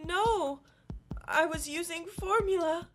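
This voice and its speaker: Woman acting a very high-pitched, distraught mother. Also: slow, soft background music. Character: distraught, pleading mother of a kidnapped baby. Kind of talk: melodramatic radio drama acting.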